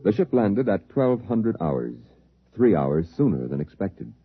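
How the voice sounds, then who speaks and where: A solemn science fiction narrator with a very low, dramatically varied voice. The sound is a narrow, vintage radio recording with music behind it. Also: male voice, very animated, a little slow, breathy edge, no room sound, heavily acted. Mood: solemn, suspenseful.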